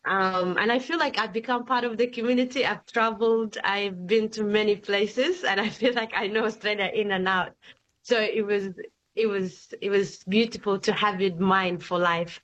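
A woman's voice: mid-range voice, fairly even intonation, moderate pace, fairly bright tone. Woman, from Somalia, poet guest, hesitant fillers, slightly dull recording. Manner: hesitant, many fillers, laughs often. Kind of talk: casual interview chat.

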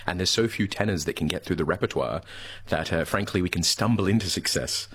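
A man's voice: low voice, fairly quick, a bit bright, husky. A man, an opera tenor, chatting as a guest on a radio interview, full of ums and restarts. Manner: hesitant, filler-heavy speech.

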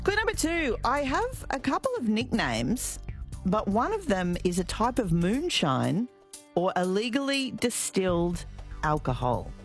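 A woman's voice: medium pitch, wildly swooping pitch, unhurried, faint music behind. An Australian woman hosting a casual morning radio show, chatting and reading out listener texts. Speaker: radio host.

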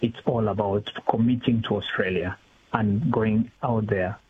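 A middle-aged man giving spontaneous interview answers, haltingly, repeating words as he thinks. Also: on the low side, steady tone. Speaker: interview guest, community executive officer. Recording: tinny phone line with hiss.

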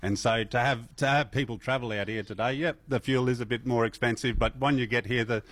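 A middle-aged man with an Australian accent, a festival MC chatting as a radio interview guest in a relaxed way, with many fillers and restarts. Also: mid-range voice, brisk, no room sound.

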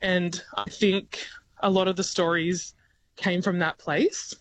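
A woman's voice: on the low side, flat delivery, slow pace. Giggly Australian woman musician on the phone. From regional New South Wales, Australia. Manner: thoughtful, giggly, many ums and restarts. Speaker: singer-songwriter guest. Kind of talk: radio interview over the phone.

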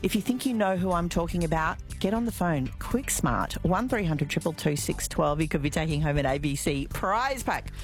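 An Australian woman hosting talkback radio, chatty and upbeat as she takes calls and reads out numbers and quiz clues. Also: fairly low pitch. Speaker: radio host. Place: radio studio. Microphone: studio mic.

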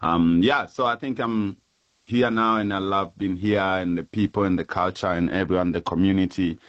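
A man, interviewed on radio as a musician. His voice is very deep, and he talks in a hesitant, friendly way with some stumbles. The sound is slightly dull and lo-fi. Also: steady tone, normal speed. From Tanzania. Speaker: musician, guest.

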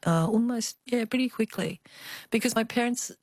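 An older woman with an Australian accent, telling personal memories as an interview guest in a thoughtful, expressive way. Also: a little high, normal speed, dry recording. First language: Cantonese. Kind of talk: reflective personal storytelling.

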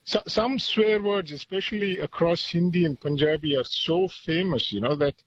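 A man from Chennai calls in to a radio show, speaking thoughtfully and slowly finding his words. His voice is a little high for a man. The line sounds muffled and dull. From Chennai, India. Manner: thoughtful, hesitant, many fillers. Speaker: caller. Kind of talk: phone-in conversation.

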